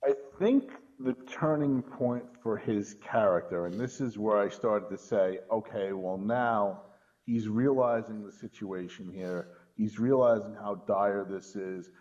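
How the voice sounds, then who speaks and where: A man's voice: lowish voice, measured pace, darkish tone. A man co-hosting a film podcast, giving emphatic opinions in casual discussion, on a slightly dull recording.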